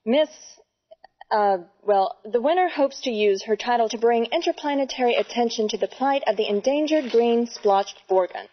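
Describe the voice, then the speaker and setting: A composed sci-fi news anchor. Her voice is on the high side and polished, in mock TV bulletin style, with a slightly dull recording. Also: expressive, normal speed. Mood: pleasant. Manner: polished, newsreader-like. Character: bright, composed female space news anchor. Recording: slightly dull studio sound.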